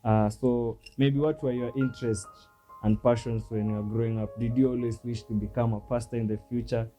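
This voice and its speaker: A man's voice: mid-range voice, level tone, fairly quick, warm tone, soft background music. A man hosting a studio interview, putting polite questions to his guest. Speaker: interview host.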